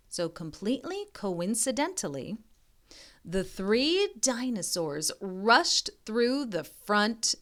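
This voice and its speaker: Woman, picture-book reader, expressive and teacherly, very slow pace, swooping intonation. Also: mid-range voice, smooth. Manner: expressive, teacherly, pauses to question listeners. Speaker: reading tutor reading a picture book aloud. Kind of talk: animated children's read-aloud with chatty asides.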